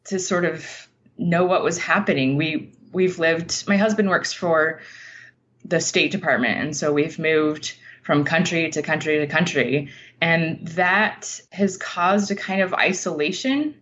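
Woman, American accent, lowish pitch, casual interview guest, muffled lo-fi audio with faint noise. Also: slow pace, a bit bright. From Utah, United States. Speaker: interview guest, writer and teacher. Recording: dull lo-fi line, faint background noise.

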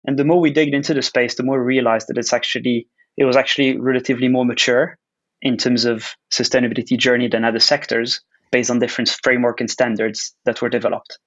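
A man from Switzerland, a company founder giving measured, explanatory answers in a podcast interview. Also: mid-range voice, flat delivery, a bit bright, highs cut off. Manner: measured, explanatory, with frequent "uh" pauses. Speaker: guest, startup founder and CEO.

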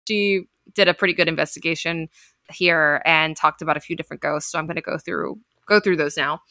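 A woman's voice: deep voice, a little fast, sharp tone, no echo, studio quality. A woman hosting a podcast, telling a story in a casual, chatty way with lots of filler words and playful asides. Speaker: podcast host.

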